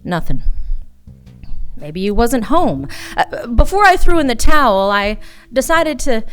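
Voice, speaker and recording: A woman playing a wry, hardboiled female private eye in a noir radio play, with a sardonic, teasing delivery and dramatic pauses. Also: a little high, big pitch swings. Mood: guarded, narrating. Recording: live radio play with music behind.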